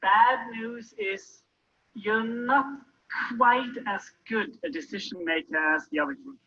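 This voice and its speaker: A man's voice: very high voice, expressive, measured pace. A man co-presenting a workshop, explaining the audience's quiz results. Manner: explanatory, giving verdicts to the audience. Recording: muffled lo-fi recording.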